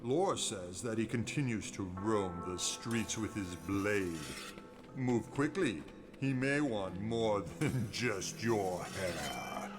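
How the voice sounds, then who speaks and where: An actor playing a theatrical recorded ghost-tour guide in a video game, telling spooky tales in a dramatic, ominous way. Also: male voice, fairly low pitch, animated, takes it slowly, over music. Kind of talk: spooky scripted narration.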